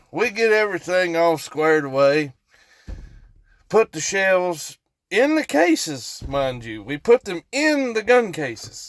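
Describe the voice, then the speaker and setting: Male storyteller, Appalachian accent, slightly high-pitched, folksy and casual, unhurried tangents, dry close sound. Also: no room sound. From West Virginia, United States. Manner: folksy, rambling, repeats for emphasis. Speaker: storytelling vlogger.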